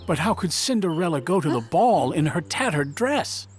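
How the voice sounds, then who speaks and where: Male storyteller, children's tale narration, slightly high voice, highly varied intonation, dramatic delivery. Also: very animated, normal speed. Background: music.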